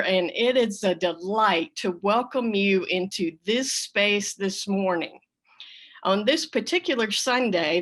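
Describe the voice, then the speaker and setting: A woman's voice: mid-range voice, a bit bright. Woman minister giving a service welcome. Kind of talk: church service welcome address. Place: online video call service. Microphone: video call.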